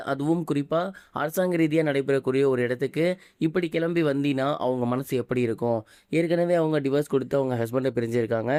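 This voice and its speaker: A man delivers online news commentary at a brisk pace. His voice is smooth and a little soft-toned, recorded close and dry. He mixes English words into his scripted delivery. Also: medium pitch, no room sound. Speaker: online gossip news presenter.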